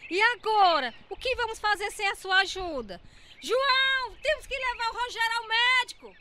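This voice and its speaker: A woman playing a strict, brisk farm aunt in a radio drama, commanding and emphatic. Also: very high-pitched, animated, background noise. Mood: alarmed, exasperated.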